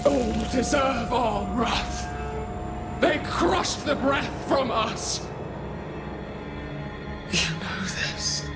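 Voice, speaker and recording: A woman's voice: fairly high pitch, lively intonation, extremely slow, long pauses, loud music behind the voice, full character voice. An actress playing a shattered, vengeful female spirit villain in a video game, ominous and imperious. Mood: wrathful. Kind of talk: dramatic video game acting.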